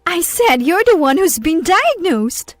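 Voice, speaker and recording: A woman's voice: very high-pitched, big pitch swings, measured pace, no room sound, pitched above the performer's own voice, full character voice. Woman playing long-suffering wife, pointed, confronting, cool disdain, audio story, dry sound. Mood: cold, firm.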